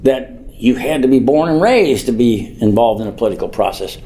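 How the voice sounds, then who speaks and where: A man's voice: medium pitch, animated, fairly quick, warm tone. An older man from the United States giving an informal public speech as a mayor, rambling and earnest, repeating phrases for emphasis. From Norwood, United States.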